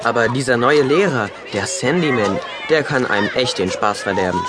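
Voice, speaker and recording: An actor playing a cheeky, quick-witted schoolboy in a German children's audio drama. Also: male voice, mid-range voice, expressive, a loud crowd, very noisy, close to an everyday voice. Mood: chatty. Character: cheeky schoolboy Willi, teasing older-sounding brother, quick-witted.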